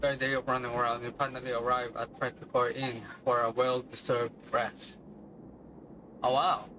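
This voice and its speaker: A man's voice: medium pitch, little pitch movement, moderate pace, pushed and bright, slight background noise, rough recording. A man doing casual game commentary as a streamer, muttering asides in reaction. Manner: hums nonsense tunes, muttering asides. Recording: game music behind the voice. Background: game music.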